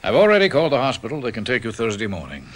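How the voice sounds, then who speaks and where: An actor playing a middle-aged American doctor in a radio drama, a frank and reassuring old friend who talks in a deliberate, professional way. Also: male voice, mid-range voice, wildly swooping pitch. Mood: concerned, firm. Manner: deliberate, professional, plain-spoken.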